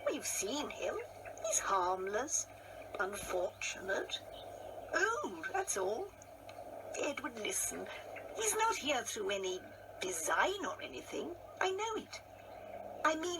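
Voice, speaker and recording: A woman acts out a solicitous wife in an absurdist radio play. Her voice is high and very varied in melody, coaxing and reassuring as she gently manages her husband. Clear noise runs under the recording. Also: exaggerated voice. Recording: noisy audio with background ambience.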